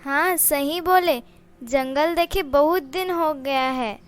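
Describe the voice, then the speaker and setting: A woman voicing a very high-pitched cartoon cat. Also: slow. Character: meek talking cat, female.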